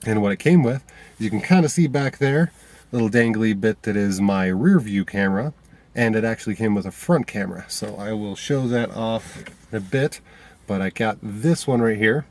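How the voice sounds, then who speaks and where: A man with a somewhat low voice talks straight to a handheld camera from inside his car. He sounds stuffy from a cold. He rambles casually, full of fillers and restarts. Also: normal speed, darkish tone. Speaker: vlogger.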